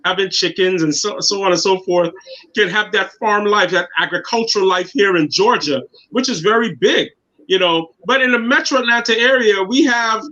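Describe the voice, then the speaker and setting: Man in his 50s, interview guest, bright voice, muffled lo-fi sound. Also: high-pitched, moderate pace. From Guyana. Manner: earnest, repeats words while finding his thoughts. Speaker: guest, city councilman. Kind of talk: casual interview talk. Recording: dull lo-fi sound.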